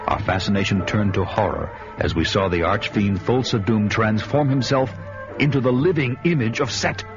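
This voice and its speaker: A grim barbarian warrior played by a man recounts his saga of vengeance in a lowish voice, solemn and deliberate. The radio drama sound is dull, with loud music behind him. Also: lightly acted. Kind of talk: dramatic first-person radio narration and acting.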